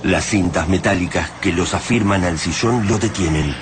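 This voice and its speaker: A man narrating a radio drama from a script, in short, measured, suspenseful phrases. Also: low-pitched, very noisy.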